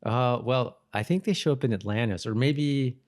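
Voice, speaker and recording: Man co-hosting a casual podcast, mid-pitched. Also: normal speed, a little mellow. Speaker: podcast co-host. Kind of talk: casual chat about a TV episode.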